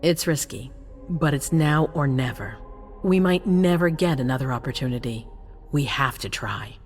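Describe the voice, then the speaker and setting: A grave and resolute female vampire prince, rules her city with formal, measured speech. Her delivery is authoritative and dramatic, in video game cutscene style, over music. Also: very deep voice, lively intonation.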